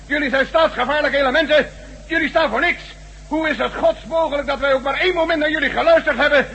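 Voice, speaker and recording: A man's voice: extremely high pitch, fast, bright, forceful tone, heavily acted. An actor playing an outraged chairman who shrilly barks accusations and orders in a radio drama. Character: outraged male committee chairman denouncing traitors. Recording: muffled old radio drama recording.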